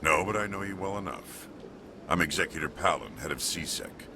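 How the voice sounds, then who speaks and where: A man playing a stern, proud alien police chief in a video game, curt and disapproving, with a gravelly voice. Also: sits low, animated, moderate pace, bright, forceful tone, slight background noise, full character voice. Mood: guarded, formal. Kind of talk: video game dialogue acting.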